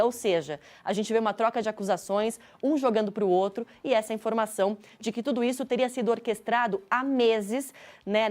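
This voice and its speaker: A woman's voice: a little high, a little mellow. A woman with a Brazilian accent giving a live news report as a reporter, in an explanatory way.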